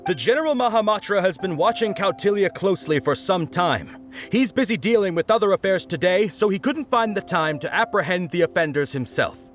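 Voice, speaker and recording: A man's voice: high voice, lively intonation, fairly quick. A man playing a dutiful law officer in a game, speaking in a formal, official manner. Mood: official, firm. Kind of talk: English game dub voice acting. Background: music.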